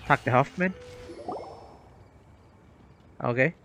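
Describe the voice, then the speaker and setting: A woman's voice: a bass voice, quick pace. A woman giving casual, joking commentary as she streams a video game, with game music playing behind her. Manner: joking, playful asides.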